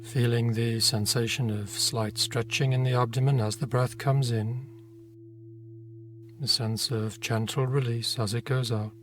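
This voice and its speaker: A man narrating a guided meditation in a gentle, unhurried manner, leaving long pauses between phrases. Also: medium pitch, fairly even intonation, a little hiss or hum. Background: meditation music with singing bowl tones.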